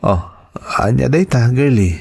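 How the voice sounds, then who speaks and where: A man's voice: mid-range voice, expressive, measured pace, vocal fry, darkish tone, breathy edge, exaggerated voice. A man playing an earnest young lover and respectful son in a dramatized audio story. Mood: reassuring, earnest.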